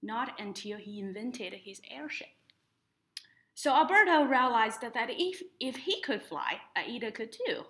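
Young female storytime reader, slow and expressive, very animated melody, mid-range pitch, explains as she goes. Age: young adult. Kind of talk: children's picture book read-aloud with explanatory asides. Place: indoors in front of a painted woodland mural.

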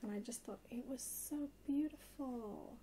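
Soft spoken asmr lady showing her haul, croaky whispery voice, bit of hiss and crinkling behind. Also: a little high, unhurried. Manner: hushed, often dropping into whispers. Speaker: haul video host. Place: indoors at a table. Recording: noisy close home recording.

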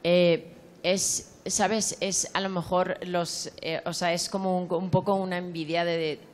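A woman's voice: fairly low pitch, flat delivery, a little slow. A woman in her forties from Iran, a curator answering on a panel in a large hall, speaking into a handheld microphone with frequent restarts and fillers. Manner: thoughtful, many restarts and fillers. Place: large hall at an art fair.

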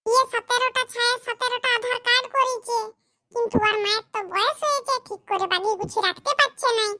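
A very high voice doing a jittery goat thief. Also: steady tone, fast, sharp tone. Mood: pleading. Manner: rambling, pleading. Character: nervous young man who stole a goat, rambling excuses. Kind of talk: cartoon comedy dialogue.

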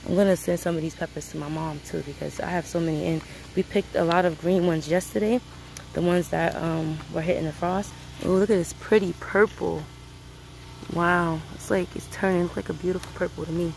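A woman's voice: on the low side, fairly even intonation, fairly quick, gravelly, darkish tone, a little hiss or hum, poor audio. A woman from Brooklyn, chatting casually to viewers while picking peppers in a sunny outdoor garden, filmed on a phone. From Brooklyn, New York City. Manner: chatty, addresses viewers as guys. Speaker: homestead vlogger.

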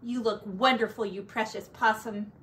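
A woman voicing a caring, excitable grandmother possum in a children's storybook, exclaiming and reassuring. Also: medium pitch, normal speed, distant mic, slight background noise, natural voice, rough recording. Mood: encouraging.

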